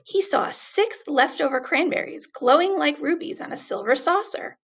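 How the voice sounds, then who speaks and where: A woman reading a children's story aloud expressively, with deliberate, dramatic emphasis on words. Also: sits high, animated.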